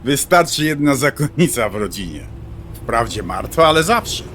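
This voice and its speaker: A man's voice: mid-range voice, big pitch swings, moderate pace, fairly bright tone, a little hiss or hum. An actor playing a devout middle-aged man, a teasing and reassuring friend who talks colloquially in an audio drama. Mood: earnest, persuasive. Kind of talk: dialogue acting in an audio drama.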